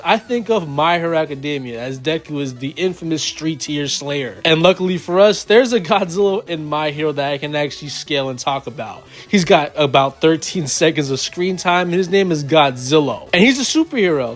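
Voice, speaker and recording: A man with a fairly high voice delivers casual video-essay commentary over faint background music. He talks like a fan in a debate, arguing his points informally and dropping in slang as he hosts. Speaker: host of a video channel.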